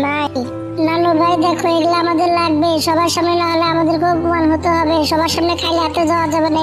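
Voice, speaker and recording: A woman voicing chatty, fretful female birds in an amateur cartoon, with an insistent manner. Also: extremely high pitch. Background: music.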